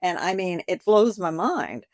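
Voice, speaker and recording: A lively woman in her seventies talks in a somewhat low voice, chatting freely as an interview guest. The sound is dry and close, with no room around her. She slips into the voices of her customers as she tells her stories. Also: unhurried, no echo. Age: 70s. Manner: chatty, animated, acts out customer dialogues. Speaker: boutique owner and guest. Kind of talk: conversational interview.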